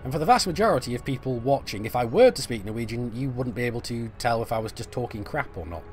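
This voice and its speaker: A man's voice: mid-range voice, lively intonation, fast talker, soft background music. A middle-aged man, chatting casually on a live stream while he plays, reading and answering viewer messages with lots of fillers and restarts. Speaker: game streamer.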